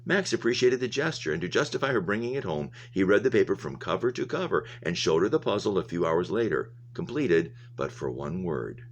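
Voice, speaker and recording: A man with an extremely low voice narrates a novel aloud as a teacher would. He reads the prose and adds plain explanatory comments for his listeners. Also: sing-song, a little fast, few pauses, a little hiss or hum.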